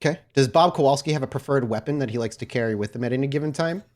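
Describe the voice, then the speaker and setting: A man's voice: medium pitch, very fast, a little mellow. A man narrating and chatting with players as game master of a tabletop roleplay podcast. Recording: music under the voice.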